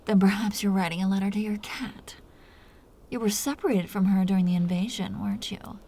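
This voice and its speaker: A woman playing a teasing, seductive, dominant vampire captor in an intimate roleplay audio drama, talking in breathy half-whispers. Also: medium pitch, steady tone, a little fast, warm tone, natural voice. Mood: mock-tender teasing.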